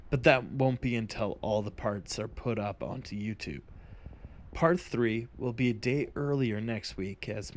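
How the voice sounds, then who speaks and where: A man who hosts the channel reading a fantasy story aloud in a measured, deliberate way. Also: medium pitch. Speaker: channel host and narrator. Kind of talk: scripted story narration.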